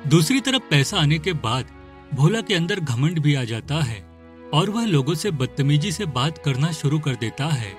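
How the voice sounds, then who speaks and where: Fairly high-voiced male story narrator. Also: sing-song, measured pace, faint music behind. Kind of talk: scripted cartoon story narration.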